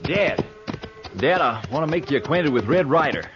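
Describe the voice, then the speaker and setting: An actor playing an earnest young cowhand, a rancher's son, in an old-time radio western. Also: male voice, fairly high pitch, expressive, normal speed, over music, close to an everyday voice. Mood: eager. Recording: muffled old radio recording.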